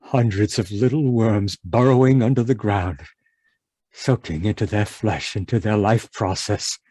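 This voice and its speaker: An actor playing an anguished, haunted man in an old-time radio horror monologue, halting and repetitive in a melodramatic way. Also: mid-range voice, dark tone, husky, no echo, natural voice. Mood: haunted, brooding. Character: anguished, haunted man raving about monstrous giant worms.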